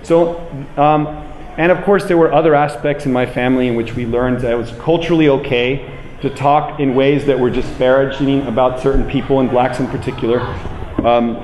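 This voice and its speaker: An American man delivers a public lecture with emphatic, deliberate phrasing, repeating himself for weight. His voice is medium-pitched and carries through a roomy, echoing space with some background noise. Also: fairly even intonation, darkish tone. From United States. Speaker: public speaker. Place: indoor room with plain walls, speaking to an audience. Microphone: handheld microphone, later a small clip-on mic.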